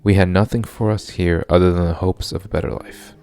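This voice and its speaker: A young American man in his late twenties speaks as a vlogger, narrating personal reflections. His voice is very low, with a heavy vocal fry at the edges. He is measured and earnest, and soft music plays faintly behind him. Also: fairly even intonation. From born in Hong Kong, grew up in Southern California from age seven. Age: late 20s. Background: faint background music.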